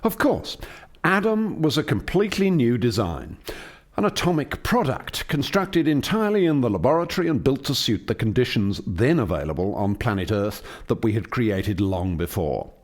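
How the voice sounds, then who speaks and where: A man acts as an alien scientist and creator in a radio drama. He is reflective and earnest, explains in formal language, and moves freely up and down around a medium pitch. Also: sing-song, normal speed. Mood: explaining. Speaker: lead scientist. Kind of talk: radio play dialogue.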